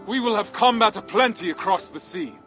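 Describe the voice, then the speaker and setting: A man's voice: high-pitched, animated, a little fast, fairly bright tone, faint music behind. A man playing a proud, determined Viking trader and warrior in a video game, with a rousing, declamatory delivery. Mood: defiant, confident. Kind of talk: dramatic video game acting.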